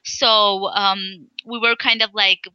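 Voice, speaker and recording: A young woman from Sri Lanka, an interview guest speaking in a halting way with many fillers and restarts. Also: mid-range voice, level tone, clean tone, no room sound, lo-fi sound. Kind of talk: casual interview talk.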